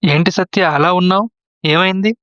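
A man's voice: high-pitched, moderate pace, fairly bright tone, no room sound, lo-fi sound. A man playing a trusting, easily swayed younger brother and husband in a moral story drama, earnest and questioning. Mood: concerned.

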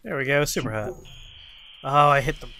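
A man's voice: mid-range voice, moderate pace, vocal fry, sharp tone. A man hosting a gaming show, giving casual video game commentary with wry, playful asides.

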